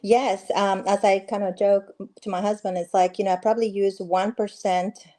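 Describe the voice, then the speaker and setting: A woman's voice: mid-range voice, level tone, normal speed, mellow. A middle-aged woman from Peru, a guest on a video call interview, talking in a friendly way with many fillers and restarts. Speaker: guest, real estate agent. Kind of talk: casual interview conversation.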